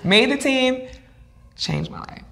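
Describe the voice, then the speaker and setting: A woman's voice: sits high, expressive, slow, gravelly, a bit bright, a little hiss or hum. A young woman, a dance instructor, telling her story in a casual interview, upbeat and chatty with frequent fillers. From United States, raised by Ghanaian parents.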